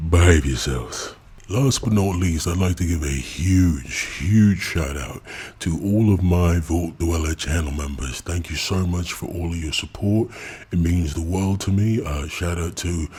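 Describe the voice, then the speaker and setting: Husky low-voiced man. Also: darkish tone. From England. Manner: candid and blunt, laughs often. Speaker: YouTube channel host. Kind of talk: casual subscriber Q&A.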